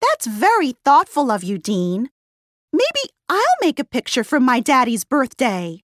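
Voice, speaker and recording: A man's voice: extremely high pitch, wildly swooping pitch, a bit bright, dry recording, studio quality, pitched above the performer's own voice, exaggerated voice. A man doing a wistful little girl. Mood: eager. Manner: slow, wistful, wondering. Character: sad little girl wanting a gift.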